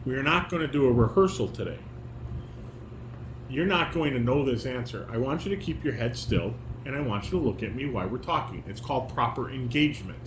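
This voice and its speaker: Firm instructing dad in an echoey room. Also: male voice, medium pitch, animated, fairly quick. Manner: firm, correcting, quizzing. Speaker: father leading a family table read. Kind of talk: instructional talk to a child. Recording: echoey room, background noise.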